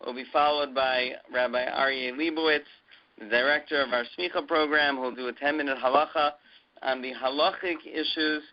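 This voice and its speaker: A man giving an update on a conference call in a halting, hesitant way with many filler pauses, as a university president addressing his community. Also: mid-range voice, steady tone. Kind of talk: conference call update, semi-scripted announcement. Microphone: conference phone call. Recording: dull muffled conference call audio.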